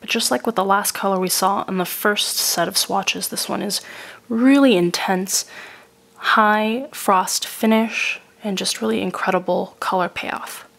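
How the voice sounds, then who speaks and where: A woman getting over a cold, calmly describing each product she tries in a methodical review voice-over. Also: medium pitch, unhurried, gravelly. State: recovering from a cold. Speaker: beauty reviewer.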